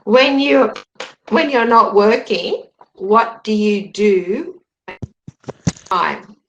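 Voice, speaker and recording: A woman's voice: medium pitch, expressive, drawn-out words, dull audio. A woman, tutoring English over a video call and patiently rephrasing her questions. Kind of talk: online language lesson conversation.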